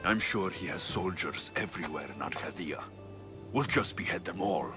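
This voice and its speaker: An actor playing a hot-tempered mercenary warrior in a video game, blunt and commanding. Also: male voice, sits low, normal speed, music in the background, close to an everyday voice. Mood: firm, calmer. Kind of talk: video game dramatic acting.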